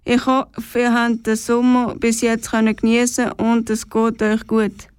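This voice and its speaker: A woman's voice: fairly high pitch, completely flat delivery, a little slow, clean tone, no echo. A woman with a Swiss German accent hosting a radio show and giving a scripted introduction. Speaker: radio host.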